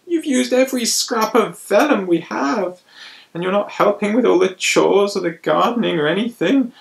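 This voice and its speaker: A man voicing a fed-up priest in a folktale, complaining indignantly in a high-strung way. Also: normal speed, creaky, far from the mic. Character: fed-up, complaining priests scolding a young novice.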